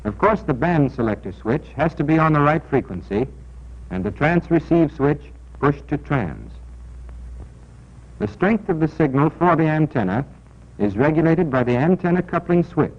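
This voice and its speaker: An American man narrating a 1940s military training film in a scripted, deliberate, instructional and emphatic manner, on an old film soundtrack. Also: a little high, very animated. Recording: dull, band-limited 1940s film soundtrack.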